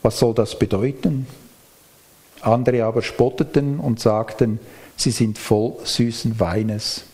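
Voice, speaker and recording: Guy giving a sermon, mid-pitched voice, explains things in a measured way. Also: steady tone, a little mellow. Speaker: preacher. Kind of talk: sermon with Bible reading and explanation.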